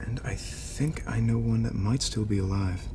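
Male actor as young dark apprentice, low voice, earnest, determined, terse, cinematic game acting. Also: normal speed, noisy background, natural voice. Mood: resolute. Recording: loud music behind.

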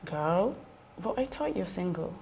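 A woman playing a teasing, gravelly young gossip. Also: lowish voice, sing-song, a little slow, a little mellow, a little hiss or hum, heavily acted. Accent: Nigerian. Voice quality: gossipy, teasing and half-whispered. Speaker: clinic client. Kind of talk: film drama acting. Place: indoor room.

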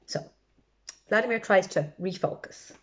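A woman reading aloud to children in an expressive way, adding chatty asides as a storytime librarian. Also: medium pitch, unhurried, muffled recording. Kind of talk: animated children's read-aloud.

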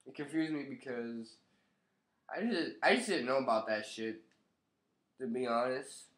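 A young man with a congested, nasal voice, still getting over a cold, chats offhandedly into his webcam with plenty of swearing. He sits in his living room, and the camera's own mic picks up a roomy, echoing sound. His pitch sits in an ordinary middle range. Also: measured pace, fairly bright tone. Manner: loose, profane, offhand. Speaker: vlogger. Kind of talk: casual commentary to camera.

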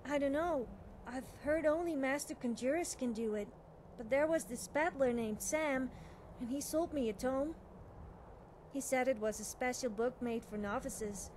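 A woman's voice: high voice, normal speed, darkish tone, slight background noise, close to an everyday voice, low-quality recording. A young woman playing an eager, nervous, cheerfully amoral witch student in video game dialogue, chatty and girlish, often hesitating and backtracking. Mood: confiding, anxious.

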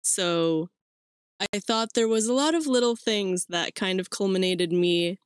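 A woman's voice: medium pitch, unhurried, clear, resonant tone, no echo. Woman, 20s, from Mexico, podcast host, chatty, rambling, dry close sound. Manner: chatty, rambling with fillers and laughs. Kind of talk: casual solo podcast talk. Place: small cluttered room indoors.

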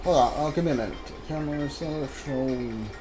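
A man's voice: medium pitch, a little fast, rough recording. A man giving casual video game playthrough commentary, mumbling his thoughts aloud as he plays. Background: game music.

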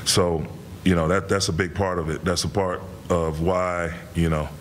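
A middle-aged man, a head coach, answering reporters' questions after a game in a measured way, with many fillers and repeated words. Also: low-pitched, flat delivery, a little hiss or hum. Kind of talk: postgame press conference answers. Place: press room in front of a sponsor backdrop.

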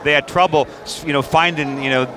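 Middle-aged male sportscaster, high-pitched, crowd behind. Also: steady tone, brisk, rough recording. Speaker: sports radio interviewer. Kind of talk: post-game interview. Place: courtside in an indoor arena. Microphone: headset. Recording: crowd noise in busy arena.

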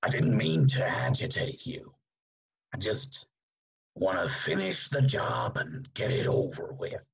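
A man playing a meek, whiny, apologetic hired killer in a comic play, husky and halting, over a dull video call. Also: on the low side, lively intonation, exaggerated voice. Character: whiny, germ-fearing male hired killer, meek underling.

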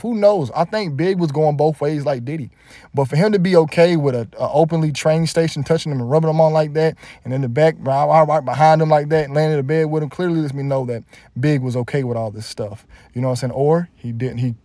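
A man's voice: on the high side, darkish tone, dry recording. A man giving casual, indignant reaction commentary over music videos, rambling and emphatic.

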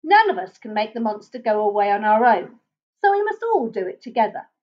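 A woman's voice: fairly high pitch, very animated, brisk, dull audio. A woman voicing a small, earnest and timid young animal hero in a children's storybook.

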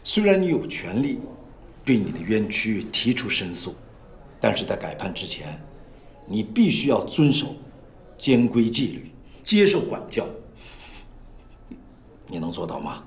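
A man playing an earnest, steady middle-aged prosecutor in a film drama, speaking in a measured, formal way. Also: on the low side, creaky, exaggerated voice. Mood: firm, formal. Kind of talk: naturalistic film acting. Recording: echoey room, music underneath.

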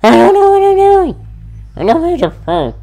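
A man's voice: extremely high pitch, huge pitch swings, normal speed, vocal fry, mellow. A man giving casual, thinking-aloud commentary on a horror game, grumbling and muttering with sudden exclamations. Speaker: let's play gamer.

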